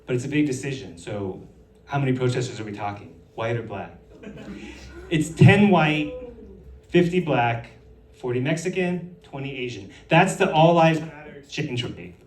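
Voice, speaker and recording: A man's voice: on the high side, darkish tone. A man performing a stand-up comedy set on stage, delivering his jokes with dry sarcasm. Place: on stage in a hall. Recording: roomy hall, picked up from a distance.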